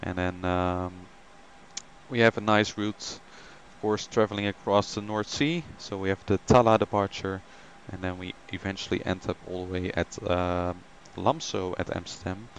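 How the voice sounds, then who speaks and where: Male streamer, lowish voice, chatty and loose with fillers, dull lo-fi mic. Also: steady tone, moderate pace, a little hiss or hum. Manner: chatty, greets viewers by name, many ums. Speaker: flight sim live streamer. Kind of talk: casual live stream chat.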